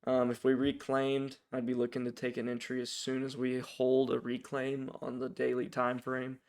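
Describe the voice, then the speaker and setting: Flat-toned man, raspy from a sore throat. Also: medium pitch, moderate pace. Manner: informal, hesitant, thinking aloud. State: raspy, sick with strep throat. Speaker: stock trading channel host. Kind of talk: casual, unscripted stock watchlist commentary.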